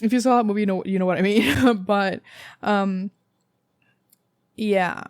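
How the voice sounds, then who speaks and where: Raspy-voiced woman giving a rambling tarot reading. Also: medium pitch, measured pace, darkish tone, no echo, clean studio sound. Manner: rambling, filler-heavy, casual swearing. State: raspy from a sore throat. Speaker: tarot reader. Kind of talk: casual pick-a-card tarot reading.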